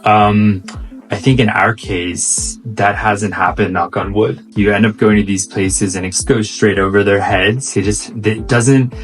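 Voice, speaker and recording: American man, low easygoing voice. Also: a little slow, faint music behind, low-quality recording. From United States. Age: 30s. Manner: fluent, easygoing, relaxed storytelling. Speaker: co-host. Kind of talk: casual chat.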